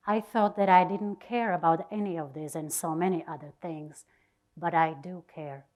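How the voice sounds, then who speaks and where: A woman's voice: lowish voice, moderate pace, darkish tone. A woman giving a dramatic reading of a personal diary to an audience. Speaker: reader at a literary event.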